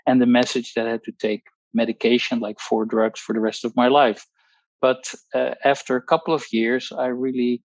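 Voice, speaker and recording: A man's voice: mid-range voice, dry recording, rough recording. Dutch-accented man in his sixties, dry close sound. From Netherlands. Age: 60s. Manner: hesitant, many pauses and restarts, technical wording. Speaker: guest, pharmacologist. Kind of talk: personal testimony on a group call.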